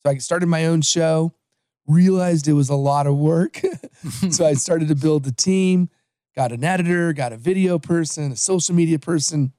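Man, 40s, from Los Angeles, upbeat interview guest, mellow tone, slightly high voice. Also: moderate pace, no room sound, studio quality. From Los Angeles, USA. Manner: upbeat, storytelling, frequent restarts. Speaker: podcast guest. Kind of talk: conversational interview.

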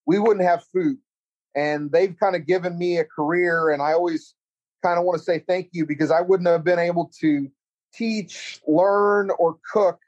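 A man's voice: fairly high pitch, a little mellow, muffled recording. A middle-aged man from Central Texas with a Texan accent, a culinary teacher and chef chatting earnestly in an interview, rambling with many restarts. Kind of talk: casual interview chat.

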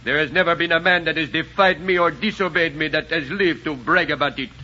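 An actor playing a smug, mock-polite, menacing gang leader in a 1940s radio drama. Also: male voice, a little high, a little fast, pushed and bright. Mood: threatening. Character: menacing, mocking male villain, gang leader in wilderness. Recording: dull noisy 1940s radio recording.

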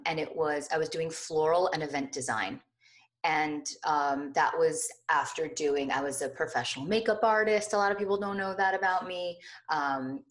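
A middle-aged woman with a hoarse, notably low voice, talking freely as a guest on an interview podcast. She rambles expressively, with frequent fillers and restarts. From New Jersey, USA. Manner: chatty, expressive, many fillers. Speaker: guest, lifestyle blogger. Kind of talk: casual interview conversation.

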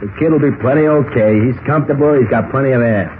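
A man's voice: medium pitch, fast, natural voice. Guy acting sleepy american hoodlum, slangy and unbothered, old radio show, tinny w/ some hiss. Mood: lazy, businesslike. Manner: slangy tough-guy talk, lazy and unbothered. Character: sleepy, easygoing gangster henchman, a hired kidnapper.

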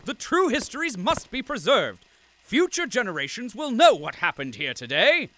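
A pompous, swooping propaganda bureaucrat. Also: male voice, very high voice, very animated, normal speed, pushed and bright, no room sound, exaggerated voice. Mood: panicked, bombastic. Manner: pompous, florid, self-satisfied. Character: pompous, zealous male propaganda bureaucrat narrating his chronicle. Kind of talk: theatrical scripted narration.

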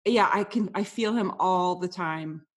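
A woman's voice: on the low side, a little slow. A woman hosting a podcast interview, talkative and friendly, with casual fillers. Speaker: podcast host.

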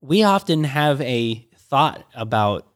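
A man's voice: mid-range voice, lively intonation, dry recording, clean studio sound. A middle-aged man, a pastor, talking through ideas in a casual teaching conversation, with frequent restarts and stammers. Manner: halting, many restarts and stammers.

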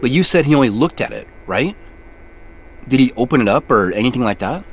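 A man's voice: medium pitch, animated, brisk, natural voice. An actor playing an earnest, puzzled man in an audio drama, hesitant and thinking aloud in natural dialogue. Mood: puzzled, frustrated. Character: earnest, puzzled man searching woods at night for treasure.

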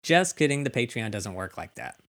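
A man with a medium-pitched voice works as a podcast host and interviewer. He delivers scripted introductions and poses questions to his guest. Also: lively intonation, normal speed, a bit bright.

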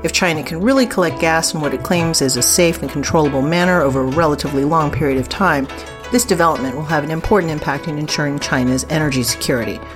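A woman's voice: sits low, fast talker, music in the background. A woman co-hosting a podcast, reading scripted news in a presenter's style. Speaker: podcast co-host.

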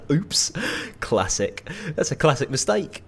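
An actor playing a chatty, over-eager night-shift petrol station attendant who rambles and pries in comedy audio drama. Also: male voice, mid-range voice, very animated, slow pace, fairly bright tone, husky, exaggerated voice. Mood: cheerfully prying. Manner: chirpy, rambling, punning sales patter.

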